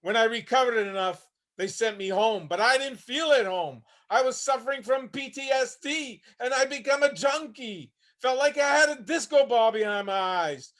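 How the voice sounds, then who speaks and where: High-pitched, world-weary old war veteran, played by a man. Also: expressive, normal speed, few pauses, fairly bright tone. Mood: haunted, weary. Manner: gritty, plainspoken, world-weary storytelling. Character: haunted, homeless older Vietnam veteran, weary and bitter. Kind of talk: dramatic monologue in a staged play reading. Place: online video call reading.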